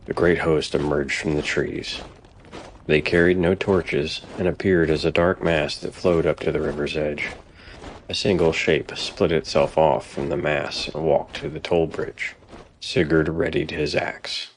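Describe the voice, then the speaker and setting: A man's voice: very deep voice, level tone, a little slow, a little hiss or hum. A man narrating a dramatic story from a script, as a storyteller.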